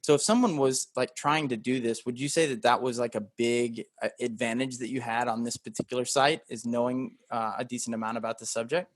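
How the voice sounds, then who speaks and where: Podcast host asking long winding questions. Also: male voice, medium pitch, fairly quick, hardly any pauses, no echo. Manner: long, winding questions full of "you know" and "um". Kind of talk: interview questions.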